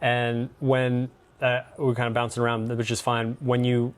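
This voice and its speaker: A man's voice: medium pitch, monotone, moderate pace, clear, resonant tone. A man hosting a gym podcast, easygoing and encouraging, asking questions with frequent mm-hmms and restarts. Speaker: podcast host, gym coach. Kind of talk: casual interview chat.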